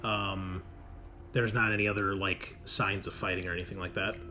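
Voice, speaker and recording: A man improvising narration as game master of a tabletop game, often halting to search for words. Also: deep voice, level tone, sharp tone. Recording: noisy room recording.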